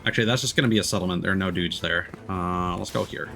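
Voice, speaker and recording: A man's voice: deep voice, quick pace, vocal fry, sharp tone, soft background music. A man giving casual live gameplay commentary as a streamer, thinking aloud as he muses through tactics. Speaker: video game streamer.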